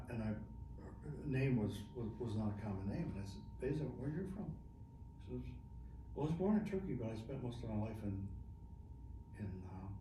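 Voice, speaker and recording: A middle-aged man, a military veteran being interviewed, telling stories in a chatty way and laughing often. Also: medium pitch. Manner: chatty, laughs often, restarts mid-phrase. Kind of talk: casual storytelling interview. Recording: echoey room, distant mic, noisy.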